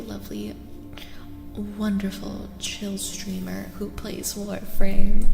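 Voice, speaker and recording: A young adult woman speaking softly as an ASMR livestreamer and tarot reader, often dropping toward a whisper. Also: medium pitch, creaky. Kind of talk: soft-spoken ASMR livestream chat. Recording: reverberant echo with music behind. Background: music.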